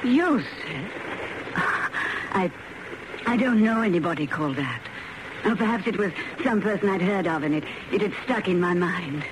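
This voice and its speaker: Coy Englishwoman, high-pitched, very noisy. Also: lively intonation, measured pace. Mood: hesitant. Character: dazed, coy young Englishwoman, mysterious attractive blonde train passenger. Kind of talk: radio drama acting. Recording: very noisy old radio drama recording.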